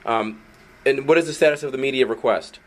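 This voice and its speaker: A man's voice: medium pitch, brisk, a little hiss or hum, poor audio. A man speaking as an outspoken activist journalist, wordy, insistent and argumentative. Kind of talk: outspoken video commentary and confrontational phone call.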